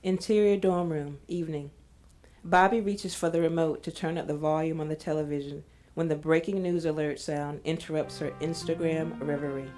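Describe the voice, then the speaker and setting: A woman's voice: low-pitched, steady tone, normal speed, mellow, faint music behind. A woman narrating an audio drama, reading screenplay stage directions in a scripted style.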